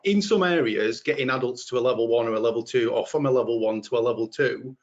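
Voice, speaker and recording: A man's voice: mid-range voice, fast talker. Yorkshire man on a muffled call. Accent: Yorkshire English. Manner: earnest, repeats words while thinking. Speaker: webinar panelist. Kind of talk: panel discussion answer.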